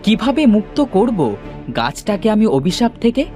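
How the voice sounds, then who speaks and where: A woman voicing a brave, earnest young male hero in a cartoon fairy tale, with expressive delivery. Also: mid-range voice, unhurried, soft background music. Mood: curious.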